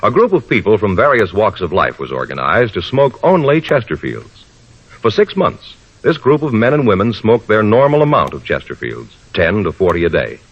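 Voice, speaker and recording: Husky low American radio announcer man. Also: big pitch swings. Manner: formal, measured phrasing. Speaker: commercial announcer. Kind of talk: 1950s radio scripted advertising narration. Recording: dull old radio recording.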